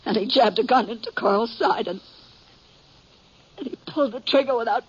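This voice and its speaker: Grieving middle-aged American western widow, raspy, halting, tearful, on muffled old radio. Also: female voice, on the high side. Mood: grieving, distraught. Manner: halting, repeating herself. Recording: muffled vintage radio recording.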